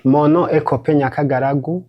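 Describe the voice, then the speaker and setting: A man's voice: fairly high pitch, steady tone, normal speed, dull audio, music in the background. A man from Burundi, a university student, giving an earnest personal testimony in simple phrasing.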